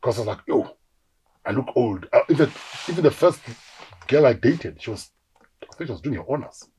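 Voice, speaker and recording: A South African man in his forties, an actor telling animated stories in a casual interview and acting out the voices of people he quotes. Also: mid-range voice, brisk, slight background noise, rough recording. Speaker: actor guest.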